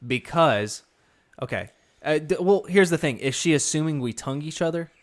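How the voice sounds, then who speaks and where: A man's voice: on the high side, lively intonation, normal speed. A young man co-hosting a podcast, cracking dry jokes and reading out listener messages in casual banter. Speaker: podcast co-host.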